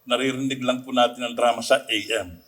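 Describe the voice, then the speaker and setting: A Filipino man with a husky voice answering conversationally as an interview guest. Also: medium pitch, level tone, a little fast, fairly bright tone. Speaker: radio drama team member, guest. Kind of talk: conversational interview answers, mixing Tagalog and English.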